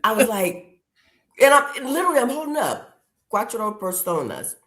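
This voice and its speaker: A woman hosting a casual interview podcast, telling stories and acting out what others said with lively, playful quotes. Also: a little high, moderate pace, roomy sound. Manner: chatty storyteller, acts out quotes, says "girl" and "y'all". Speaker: podcast host.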